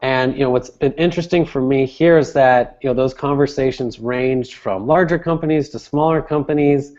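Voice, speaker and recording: A man's voice: mid-range voice, normal speed, darkish tone, highs cut off. A man giving conversational, explanatory interview answers as a guest, restating his phrases as he goes. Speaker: interview guest, sales director.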